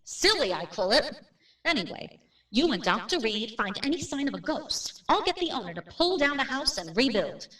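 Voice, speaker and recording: A woman playing a brisk, matter-of-fact real estate agent in a radio drama, plain-spoken and without superstition. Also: high voice, big pitch swings, fairly bright tone, distant mic. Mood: brisk, dismissive. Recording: roomy, off-mic drama recording.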